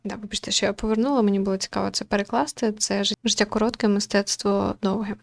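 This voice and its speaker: A woman with a mid-pitched voice comments on a visual novel as its host. She talks in a casual, reflective way, hesitating and feeling her way toward the right wording. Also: steady tone, quick pace, vocal fry. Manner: hesitant, searching for words, thoughtful.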